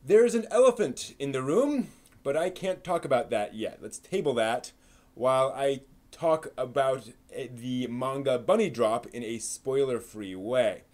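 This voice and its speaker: A man's voice: mid-range voice, animated, unhurried, a little mellow. A man hosting a live stream, giving a casual, off-the-cuff review and often rephrasing himself as he explains.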